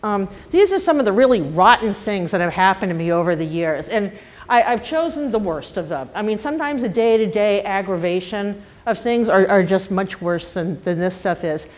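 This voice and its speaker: A woman's voice: medium pitch, animated, moderate pace. An older woman from Boston with a light Boston accent, speaking as a panelist and telling her own career stories in a wry, frank, self-deprecating way. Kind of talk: candid personal talk.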